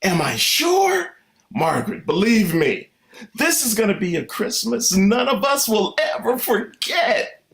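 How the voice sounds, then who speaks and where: A man's voice: high-pitched, big pitch swings, a little slow, gravelly, fairly bright tone, full character voice. An actor playing an exasperated, idealistic 1950s family father in an old-time radio comedy. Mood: confident.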